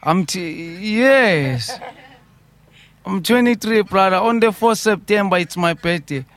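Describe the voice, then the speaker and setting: A man's voice: high voice, animated, takes it slowly, a bit bright. A man in his twenties with a South African accent, a studio guest who talks emotionally and keeps repeating himself, calling everyone brother. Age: 20s.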